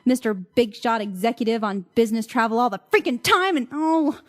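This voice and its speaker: An actress in an audio play playing an irate, sarcastic, fed-up wife with a theatrical, exasperated way of talking. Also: female voice, a little high, fairly quick, natural voice. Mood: ranting. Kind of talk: audio drama dialogue.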